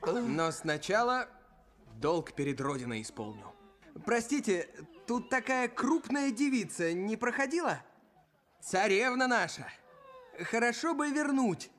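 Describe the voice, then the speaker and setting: A man voicing an earnest, lovestruck young fairy-tale hero in an animated film. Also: sits high, a little slow, heavily acted. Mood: polite, resolute.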